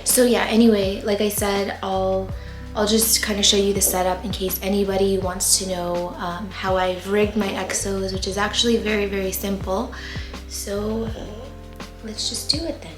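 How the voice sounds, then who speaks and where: A tired young woman whose voice is going hoarse, chatting casually and rambling with fillers as she shows her plant room. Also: mid-range voice, flat delivery, normal speed, echoey room, over music. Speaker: plant YouTuber. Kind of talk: casual vlog talk. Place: warm home plant room. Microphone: camera mic with ring light.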